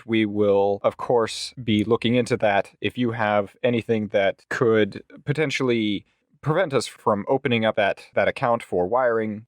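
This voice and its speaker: A man playing a polite, officious legal agent in a tabletop game, speaking formally in measured, wordy sentences. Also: on the low side, no echo, clean studio sound, close to an everyday voice.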